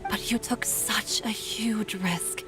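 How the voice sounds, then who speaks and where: An actress playing an earnest, worried female physician and mother in a video game, with a grave, soft, sighing delivery. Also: mid-range voice, fairly even intonation, slow, close to an everyday voice. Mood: anguished, regretful. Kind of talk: dramatic video game voice acting. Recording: game dialogue over background music.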